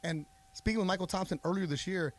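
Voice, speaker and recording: Guy on sports radio breaking down bets and hoops, bit high voice, sure of himself. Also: quick pace. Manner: confident, explanatory, talks at length. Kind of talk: sports talk radio analysis. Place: radio studio. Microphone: studio mic.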